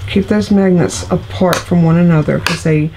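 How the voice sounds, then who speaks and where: A woman's voice: on the low side, steady tone, normal speed, background noise. A middle-aged woman, still congested from illness, chatting homily through each step of a card-making tutorial. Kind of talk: casual craft tutorial.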